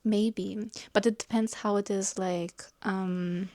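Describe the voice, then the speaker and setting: A woman talks in a relaxed way with friends. She is reassuring and chatty, and she explains at length with plenty of "like" fillers and restarts. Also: mid-range voice, slow. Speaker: friend giving feedback. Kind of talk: casual chat among friends.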